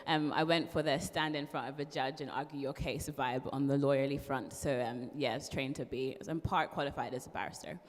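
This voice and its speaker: A woman's voice: extremely low pitch, fairly even intonation, fairly quick, hardly any pauses. A young woman with a mixed Irish accent, a part-qualified barrister, chatting as an interview guest with frequent ums and laughs. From born in London, raised in Ireland. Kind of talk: casual interview chat. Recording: long hall reverb.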